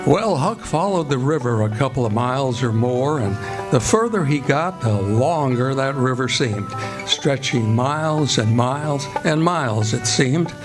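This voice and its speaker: A man narrating a story in a scripted radio play, in a measured storytelling manner. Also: mid-range voice, lively intonation, over music, natural voice. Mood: atmospheric. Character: storybook narrator of a river adventure. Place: live performance before an audience.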